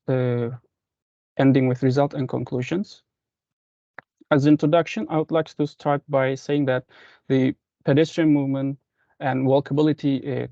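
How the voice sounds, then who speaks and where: A man's voice: medium pitch, warm tone, no echo. A young man from Indonesia with an Indonesian accent, giving a formal academic presentation over a lo-fi video call. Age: young adult. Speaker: master's student presenter. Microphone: computer on a video call. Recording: dull lo-fi online call.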